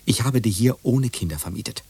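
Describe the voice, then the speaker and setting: An actor playing a petty, pompous, easily offended landlord in a radio play. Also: male voice, medium pitch, animated, a little fast, gravelly, a little mellow, breathy edge. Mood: indignant. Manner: pompous, stilted indignation.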